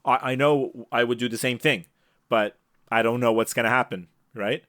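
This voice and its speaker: A man's voice: mid-range voice, normal speed, fairly bright tone, no echo. A man co-hosting a casual sports podcast, chatting on a close mic over a video call.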